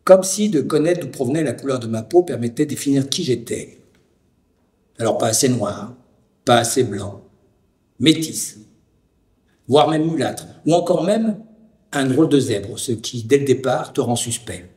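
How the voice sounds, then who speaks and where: A man from the Lyon area of France narrating a scripted personal documentary with deliberate, poetic phrasing. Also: mid-range voice, expressive, a little mellow.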